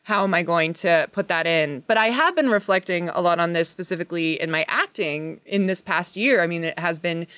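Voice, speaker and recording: A woman's voice: on the low side, brisk, few pauses, fairly bright tone, no room sound. A woman in her thirties talking casually and reflectively, earnest and introspective as a panelist. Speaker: actor, podcast panelist.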